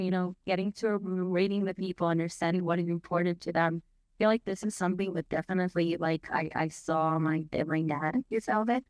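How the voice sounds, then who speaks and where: A woman's voice: fairly low pitch, no echo, rough recording. A woman speaking as a panelist who answers in a thoughtful, somewhat hesitant way.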